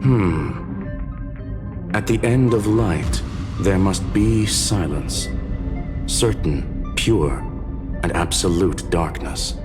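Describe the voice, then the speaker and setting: A man's voice: sits low, expressive, extremely slow, pauses often, music in the background, full character voice. A man voicing a cold, analytical game character with a grave, clinical manner. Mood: ominous. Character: cold, analytical, ominous man quoting dark scripture.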